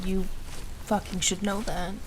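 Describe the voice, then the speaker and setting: Young female voice, actress as insecure but defiant girl, mid pitch, emotional, halting, audio drama, noisy ambience. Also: flat delivery, natural voice. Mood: torn, self-loathing. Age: young adult. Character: anxious, self-doubting young woman, defiant when pushed. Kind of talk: audio roleplay acting.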